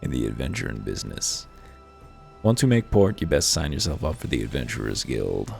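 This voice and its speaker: A man's voice: extremely low pitch, sing-song, brisk, gravelly, darkish tone, voice pushed down, heavily acted. A man voicing a chatty, friendly travelling peddler from a fantasy game, worldly-wise and speaking in folksy dialect, with music behind him. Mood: advising, wry. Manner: folksy dialect, colloquial phrasing. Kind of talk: voiced reading of fantasy game dialogue.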